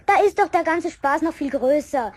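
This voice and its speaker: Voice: animated, normal speed. A performer playing a cocky, vengeful Bavarian schoolboy in a children's radio play, with a childlike voice and a brash, goading manner. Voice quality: high childlike voice.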